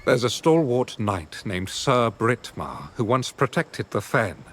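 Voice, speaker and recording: A man playing a guarded, sceptical adventurer in a fantasy video game, speaking in a measured, formal, cautioning way. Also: medium pitch, big pitch swings, fairly bright tone, heavily acted. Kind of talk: video game dialogue acting.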